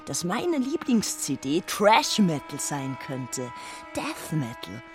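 A woman playing a bitter, furious, anguished figure in an intense literary radio monologue, deliberate and insistently repetitive. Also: low voice, very animated, darkish tone, faint music behind, heavily acted.

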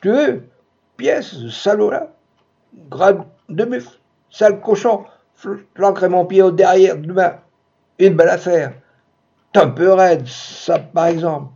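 A man's voice: on the low side, very animated, normal speed, gravelly, highs cut off, heavily acted. A man voicing a raging, bellowing jealous husband. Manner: shouting, blustering. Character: furious jealous man, loud and insulting.

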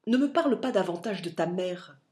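A woman's voice: lowish voice, expressive, normal speed, mellow, lightly acted. A woman voicing a stern, unbending older father who speaks severely and curtly to his son in an audiobook reading. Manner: severe, curt.

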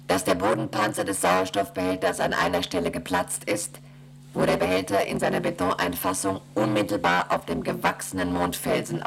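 A deep, gravelly station computer. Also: female voice. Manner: flat machine-like recitation of rules and figures. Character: cold female station computer, monotone and rule-bound. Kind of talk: radio play acting.

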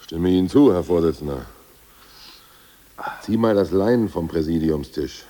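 A man's voice: sits low, animated, moderate pace, dark tone, in a large hall. An actor playing an ousted male Politburo member, blunt and stubborn, in a 1980s radio play. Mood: brisk.

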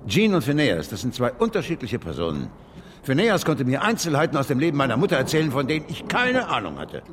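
An actor playing a flustered, stubborn older gentleman author in a German radio play, stammering and indignant. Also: mid-range voice, huge pitch swings, fast, slight background noise. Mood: defensive.

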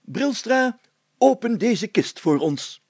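A man's voice: sits high, very animated, slow, a little mellow, slightly rough, dry recording, voice pushed up, full character voice. A man voicing a pompous, solemn and easily irritated village mayor in a children's story, with formal, declamatory delivery. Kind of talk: children's story character acting.

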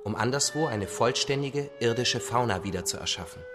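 An actor playing a patient, solemn father-like survivor who tells stories gently and with measured phrasing in a radio drama. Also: mid-range voice, expressive, over music, natural voice. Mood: storytelling. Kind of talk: radio drama acting, storytelling.

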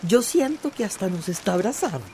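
A woman's voice: medium pitch, sing-song, brisk, dark tone. An actress playing a spirited, folksy older grandmother devoted to nature in a radio drama. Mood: tender, glad. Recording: faint background noise.

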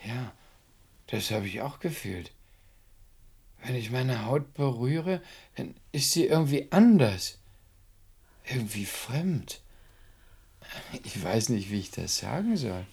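An actor in a German radio play playing a detached, ailing middle-aged husband who speaks in a halting, brooding and stubborn way. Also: male voice, mid-range voice, big pitch swings, vocal fry, a little mellow, breathy edge, heavily acted. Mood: puzzled, distant.

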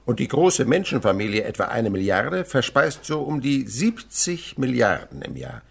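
A man's voice: mid-range voice, very animated, moderate pace, creaky, slightly rough, full character voice. An actor playing a patient, enthusiastic male teacher and naturalist in a German radio play, with a didactic, dramatic delivery. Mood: instructive, enthusiastic. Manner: didactic, dramatic swings between murmur and declamation.

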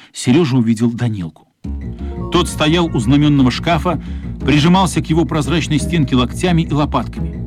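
A man narrates a story as part of a radio play. His voice sits at a middling to slightly higher pitch, and music plays clearly behind him.

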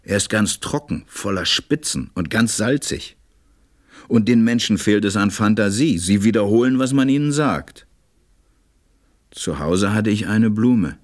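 A man narrating a fairy tale as a measured, reflective storyteller in a radio play. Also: on the low side, lively intonation.

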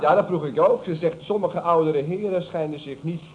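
A man's voice: on the high side, moderate pace, lightly acted. An actor playing a cheeky young nephew who needles his older uncle, in old radio comedy style. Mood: teasing. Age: young adult. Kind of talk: 1970s Dutch radio comedy acting. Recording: thin, phone-like, noisy old radio recording.

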